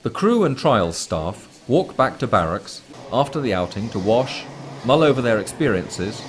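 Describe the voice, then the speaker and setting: A man reading a scripted documentary commentary in a formal, measured way. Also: medium pitch, sing-song, slight background noise. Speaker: narrator.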